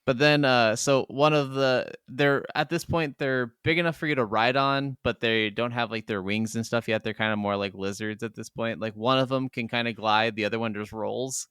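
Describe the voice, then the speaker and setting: A man's voice: mid-range voice, steady tone, normal speed, hardly any pauses, a bit bright, clean tone, no echo, clean studio sound. A man co-hosting a podcast, chatting casually about video games in a rambling, enthusiastic way. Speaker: podcast co-host.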